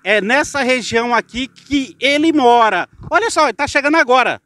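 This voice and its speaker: A man's voice: extremely high pitch, normal speed, no echo. A middle-aged Brazilian man reporting and interviewing outdoors in a residential street, holding a handheld mic. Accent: Brazilian Portuguese. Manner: energetic presenter, prompting questions.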